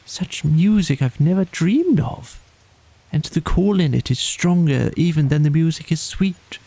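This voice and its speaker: A man's voice: fairly high pitch, expressive, a little slow, gravelly, warm tone, dry recording, pitched above the performer's own voice, exaggerated voice. A man voicing a water rat in a bedtime storybook, rapt and joyous, with breathless, lyrical exclamations. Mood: entranced, yearning.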